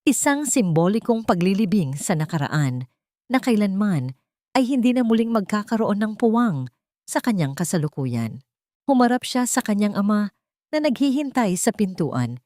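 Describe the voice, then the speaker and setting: A woman's voice: medium pitch, very animated, normal speed, mellow, no echo, studio quality. A woman with a Filipino accent reading a scripted, dramatic audio story as the storyteller.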